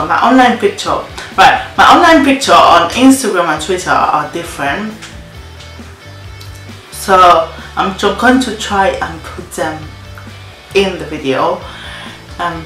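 Slow, chatty young woman vlogger, roomy echo. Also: on the low side, a bit bright. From Spain. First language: Spanish. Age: young adult. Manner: chatty, repeats her own phrases, many ums. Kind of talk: casual story-time vlog. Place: indoor room against a plain wall. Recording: roomy echo, faint music.

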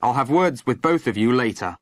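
A narrator voicing a stern, angry middle-aged police sergeant and father, gruff and curt, in an audiobook. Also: mid-range voice, expressive, fairly quick, no room sound, close to an everyday voice.

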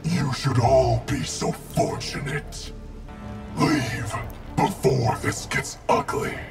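A man voicing a grim, proud wraith ninja in a video game, gravelly and slowly threatening. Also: mid-range voice, steady tone. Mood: impatient contempt. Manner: slow, deliberate, threatening delivery. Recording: music playing behind.

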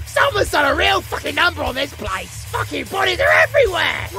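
Shrieking, manic vampire-werewolf maniac, British. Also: male voice, very high-pitched, gravelly, full character voice. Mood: manic glee. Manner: shouting, rambling, cackling, fourth-wall-breaking trivia patter. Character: manic chaotic male undead vampire-werewolf half-breed, gleefully unhinged. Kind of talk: theatrical villain monologue in an audio drama.

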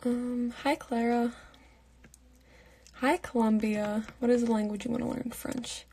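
A young woman in her late teens chats through a live Q&A, keeping her voice soft and half whispered. Her tone is breathy and mellow, with a gravelly edge at the ends of her phrases. She holds the phone at arm's length in her bedroom at night. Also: a little high, flat delivery, measured pace. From Pittsburgh, United States. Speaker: livestream host answering fan comments. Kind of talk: casual live Q&A chat. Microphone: phone held at arm's length.

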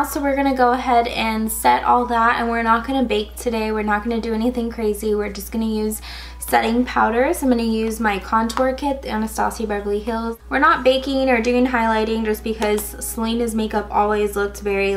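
A young woman in her twenties with a raspy, slightly hoarse voice, chatting casually through a makeup tutorial with lots of "um" and "like". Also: on the high side. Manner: casual, chatty, many fillers like "um" and "like". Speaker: beauty vlogger. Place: indoors, in front of a gold sequin backdrop.

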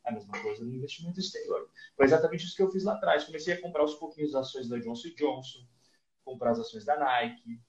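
A man's voice: medium pitch, quick pace. A man in his thirties with a Brazilian accent, a guest investment analyst telling stories animatedly, with a headset on a video call. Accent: Brazilian Portuguese. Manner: animated storytelling, acting out dialogues. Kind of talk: casual podcast interview. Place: small room with purple lighting. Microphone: headset over video call. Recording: echoey lo-fi video call audio.

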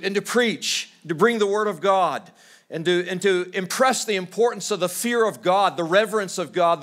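A middle-aged man from California preaching a sermon at a church lectern, swinging from loud, high exhortation to hushed, low storytelling and restarting his phrases as he goes. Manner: repeats words as he restarts phrases. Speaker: pastor. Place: church stage at a wooden lectern.

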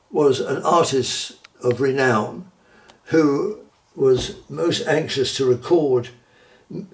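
A small private museum, an old gentleman collector walking a visitor past his cabinets, unhurried, halting and courteous, his creaky voice echoing slightly off the walls. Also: medium pitch. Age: 80s or older. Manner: halting, measured, formal courteous phrasing. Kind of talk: informal guided tour commentary. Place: wood-floored indoor collection room. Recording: roomy indoor echo.

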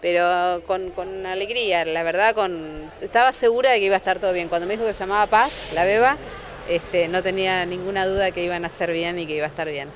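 A woman in her forties with an Argentine accent, a surgical technologist speaking calmly in a street news interview, often restarting her words. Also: fairly low pitch. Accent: Argentine Spanish. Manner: calm, measured, with frequent restarts. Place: city sidewalk outdoors. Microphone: handheld reporter microphone. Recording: faint street noise behind.

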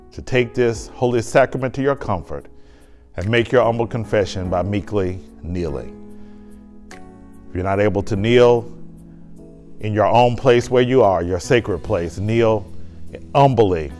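A man's voice: on the low side, unhurried, long pauses, darkish tone, soft background music. A man, a pastor, leading a sermon and communion liturgy in a church sanctuary with a lapel mic, with fervent, emphatic preaching and solemn prayer.

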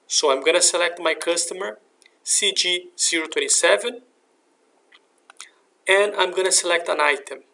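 A man narrating a software demonstration in a step-by-step, instructional way. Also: high voice, normal speed, creaky, long pauses. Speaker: software demo presenter. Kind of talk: scripted software tutorial narration. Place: screen recording voice-over.

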